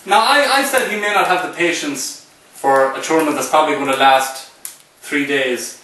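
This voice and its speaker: A man interviewing a poker player in a teasing, excitable way, often stumbling and restarting his sentences. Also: a little high, normal speed. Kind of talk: joking casual chat. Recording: echoey room, voice from far off, faint noise.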